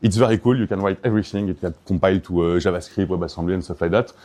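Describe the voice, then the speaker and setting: A man's voice: low-pitched, steady tone, racing, warm tone. A man from Belgium with a Belgian French accent, giving an enthusiastic, hesitant conference talk full of fillers and restarts. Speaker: conference speaker.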